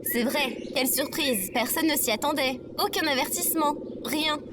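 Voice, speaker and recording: Woman playing a sarcastic, high-pitched female robot. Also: background noise, lightly acted. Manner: sarcastic, quick to take offence. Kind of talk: comedic audio fiction acting.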